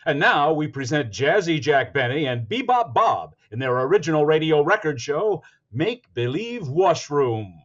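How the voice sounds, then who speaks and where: A man playing a grand radio station announcer in 1940s style, formal and booming, with slightly muffled sound. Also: sing-song, normal speed.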